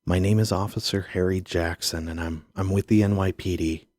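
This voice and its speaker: A man's voice: sits low, fairly even intonation, moderate pace, darkish tone, dry recording, studio quality, lightly acted. A man playing a worn-out but dogged police detective, guarded and probing, in an audio drama. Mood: probing, earnest.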